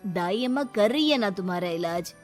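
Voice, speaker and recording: A woman's voice: medium pitch, expressive, normal speed, faint music behind, pitched below the performer's own voice, close to an everyday voice. A woman voicing stubborn, traditional village men in a firm, scolding melodramatic story style. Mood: dismissive.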